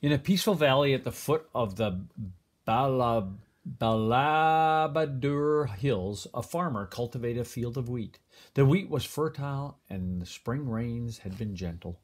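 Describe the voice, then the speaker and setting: An older man reads a children's picture book aloud as a grandfather would, with expressive, deliberate phrasing. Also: mid-range voice. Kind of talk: storybook read-aloud.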